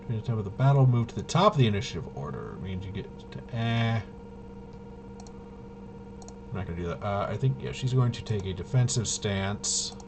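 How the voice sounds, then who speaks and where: A man's voice: lowish voice, sing-song, quick pace, gravelly, few pauses, noisy background. A man casually thinking aloud as he plays a tabletop roleplaying game, hesitant and muttering to himself while he checks rules. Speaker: solo tabletop RPG player demonstrating gameplay.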